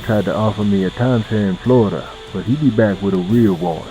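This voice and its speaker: A man acts out a sly old backwoods man in an audio drama. His voice is low and dark, and he drawls in a folksy, mocking way over faint music. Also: brisk, lightly acted, rough recording. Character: sly old rural man taunting fugitives.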